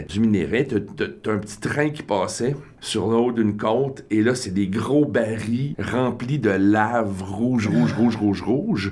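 A man in his forties speaks with a Franco-Ontarian French accent, in a voice that sits a little low. He talks casually as an interview guest and rambles through joking, self-deprecating stories. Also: darkish tone. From Northern Ontario, Canada. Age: 40s.

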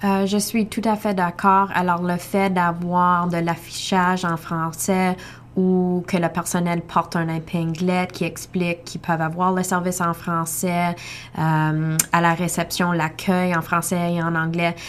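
A woman family physician talks in a low, even tone with little rise and fall. She explains her points calmly and pauses on fillers. A faint rumble sits behind her. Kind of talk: panel discussion.

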